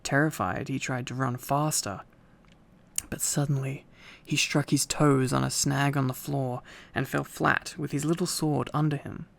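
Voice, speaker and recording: A man reads a story aloud as narrator, in a relaxed bedtime style. His voice sits at a middle pitch with plenty of gravelly fry in it. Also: a little slow.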